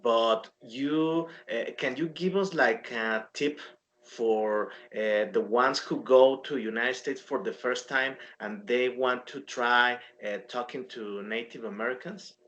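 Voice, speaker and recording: A man, an English teacher, interviewing his former student in a formal, halting way with frequent pauses. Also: medium pitch, a bit bright. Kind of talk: hosting an interview.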